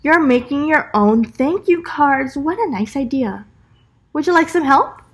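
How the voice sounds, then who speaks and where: A woman voicing a kindly, patient man who looks after a pet monkey, in a gentle, encouraging storybook manner. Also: sits high, expressive, unhurried, voice pushed up. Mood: warm, encouraging. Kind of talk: children's storybook character voice.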